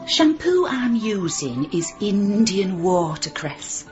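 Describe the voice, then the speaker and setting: An actress playing a falsely polite, guarded hair salon owner in a radio drama, deliberate and evasive. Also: female voice, mid-range voice, sing-song, a little mellow, dull audio, faint music behind. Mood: sly, prim.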